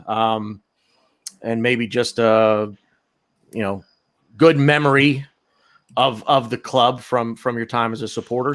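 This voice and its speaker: A man's voice: mid-range voice, fairly bright tone. A man hosting a podcast in a loose, joking way, rambling through introductions in casual chat. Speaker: podcast host.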